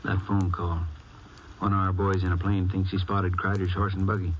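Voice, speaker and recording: An actor playing a plain-spoken, practical small-town sheriff with an American accent and folksy manner in a vintage radio drama. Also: male voice, deep voice, little pitch movement, moderate pace, muffled recording, close to an everyday voice. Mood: businesslike. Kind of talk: 1950s radio drama acting. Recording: noisy vintage radio recording.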